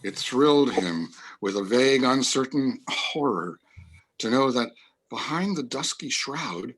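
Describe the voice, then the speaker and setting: A man reading a classic story aloud as narrator, in a grave, measured, deliberate manner. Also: mid-range voice, a bit bright, husky, low-quality recording. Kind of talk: scripted dramatic story narration.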